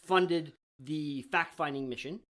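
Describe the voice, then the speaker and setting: A man's voice: a little high, slow, fairly bright tone. A man co-hosting a casual podcast, explaining topics in a chatty way and laughing easily. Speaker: podcast co-host.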